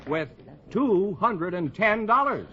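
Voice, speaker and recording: A man's voice: sits high, big pitch swings, slow. A man with an American accent announcing on a 1950s radio quiz show. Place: stage before a studio audience. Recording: muffled, very noisy 1950s radio recording, loud audience.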